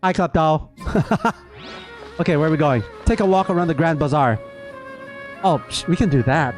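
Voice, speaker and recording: A man's voice: a little high, normal speed, faint music behind. A man doing casual live game reaction commentary, chatting playfully with his viewers. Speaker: game streamer.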